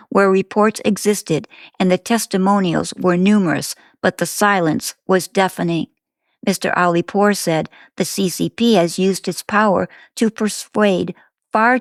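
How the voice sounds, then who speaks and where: Woman, newsreader, scripted narration, measured formal delivery, mid-range pitch, very dry close recording. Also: no echo. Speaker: news reader.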